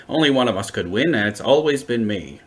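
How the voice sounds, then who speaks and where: A man's voice: medium pitch, expressive, fairly quick, natural voice. A man playing a wry, unflappable time-travelling adventurer with a dry, wordy, flippant way of talking, in an audio drama.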